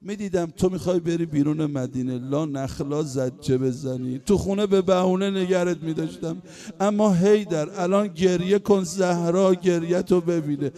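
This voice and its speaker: A man's voice: high-pitched, dark tone. A male religious eulogist delivering a chanted mourning sermon, emotional and wailing, sliding into half-sung lament.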